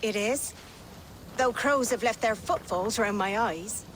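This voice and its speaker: A woman's voice: a little high, unhurried, a little hiss or hum, natural voice. A woman playing a defiant, plain-spoken, middle-aged countrywoman in a period game drama, with earthy archaic phrasing. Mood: tender, frank. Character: defiant, plain-spoken poor countrywoman, protective older sister.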